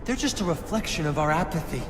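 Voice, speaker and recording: A man's voice: on the high side, lively intonation, quick pace, background noise, natural voice. A young man playing an idealistic, grandiose anarchist bomber in a video game, speechifying with theatrical fervor. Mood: bitter, disillusioned. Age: young adult. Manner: impassioned, grandiose oratory. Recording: long reverb with loud music behind.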